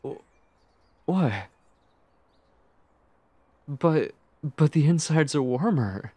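A man playing a nervous, lovestruck, obsessive young man in an intimate ASMR roleplay, stammering and muttering to himself in a breathy, husky voice. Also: fairly high pitch, animated, very slow, mellow, dry recording, heavily acted. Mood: smitten, awkward.

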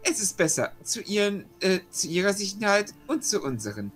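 A man's voice: high voice, big pitch swings, moderate pace, fairly bright tone, soft background music. A man talking casually as a player in a tabletop roleplaying game, slipping in and out of character.

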